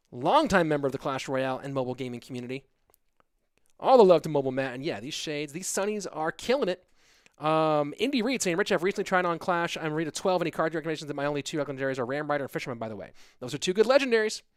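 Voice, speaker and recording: A man casually casting an esports stream, reading viewer chat aloud and answering in a relaxed, rambling way. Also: a little high, fast talker, dry recording, clean studio sound.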